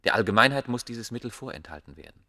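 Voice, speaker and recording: An actor playing a proud, obsessive ancient scholar, speaking gravely and declaiming in a German radio play. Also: male voice, medium pitch, expressive, pushed and bright, dry recording, studio quality. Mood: grave, intense. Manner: grave, declamatory, deliberate phrasing.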